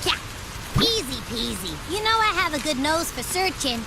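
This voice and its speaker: A woman's voice: sits high, very animated, normal speed, background noise. A woman voicing a small, cute female creature companion in a video game, cheeky and eager, with a playful, childlike manner. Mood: confident.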